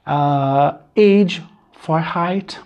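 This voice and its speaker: A middle-aged man with a Portuguese accent, a native Portuguese speaker teaching a math lesson in halting English. He searches for words and counts aloud step by step. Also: fairly high pitch, darkish tone, muffled recording. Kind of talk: screen-recorded math lesson.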